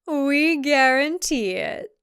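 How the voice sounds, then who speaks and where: Woman putting on a slow, sugary cult promoter. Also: high-pitched, lively intonation, a bit bright, no echo, studio quality, voice pushed up, exaggerated voice. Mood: saccharine, chirpy. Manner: slow, exaggerated, sugary salesmanship. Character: chirpy, saccharine female cult leader in promo video.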